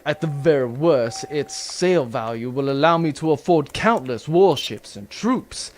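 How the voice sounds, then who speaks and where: Villain baron, played by a man: pompous, gloating, power-hungry, very varied pitch, sci-fi radio comedy, slight noise. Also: animated, unhurried. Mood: greedy, gloating. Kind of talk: comedic sci-fi audio drama acting.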